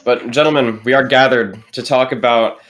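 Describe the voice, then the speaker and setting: A man with a medium-pitched voice hosts an informal podcast. He steers the chat conversationally and keeps his language fairly clean. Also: steady tone, normal speed, fairly bright tone, poor audio. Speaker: podcast host. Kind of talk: casual chat, moderating.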